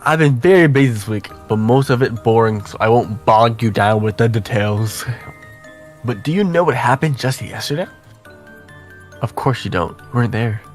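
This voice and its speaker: A man's voice: medium pitch, normal speed, faint music behind, close to an everyday voice. A man voicing a chatty, affectionate young female letter writer in a visual novel, conversational and self-deprecating. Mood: chatty, sheepish.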